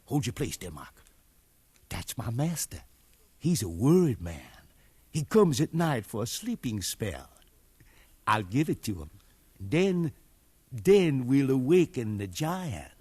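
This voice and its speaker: An actor playing a sly, eerie slave conjurer, chanting and teasing in 1950s radio drama style. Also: male voice, mid-range voice, very animated, takes it slowly, pauses often, darkish tone, husky, exaggerated voice. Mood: sly, conspiratorial. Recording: remastered 1950s radio broadcast.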